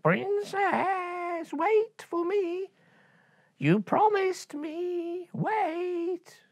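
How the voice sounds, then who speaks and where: A woman voicing a male frog in a storybook tale, with a creaky voice that begs and coaxes. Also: extremely high pitch, expressive, drawn-out words, darkish tone, no room sound, clean studio sound, voice pushed up, heavily acted. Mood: pleading.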